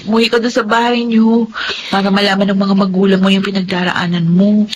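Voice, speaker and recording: A woman acting a brisk, outspoken young student assistant in a Filipino radio drama, with a Filipino accent and a frank, helpful manner. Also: medium pitch, level tone, slight background noise, natural voice, low-quality recording. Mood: frank, admonishing. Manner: frank, scolding yet helpful.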